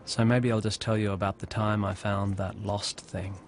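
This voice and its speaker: A man narrating an animated short film as a laid-back young man, recalling the past in an understated, conversational, wry way. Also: lowish voice, moderate pace, a little hiss or hum, natural voice.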